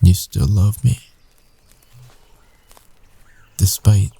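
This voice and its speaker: A man playing a tender, weary werewolf ex-boyfriend in an intimate ASMR roleplay, with hushed, halting delivery. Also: sits low, steady tone, gravelly, breathy edge, exaggerated voice. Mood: tender, reluctant.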